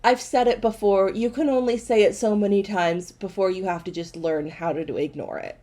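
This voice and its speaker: A woman's voice: mid-range voice, steady tone, dark tone. Chatty young woman podcast co-host. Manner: chatty, self-correcting, quick asides. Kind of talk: casual chat.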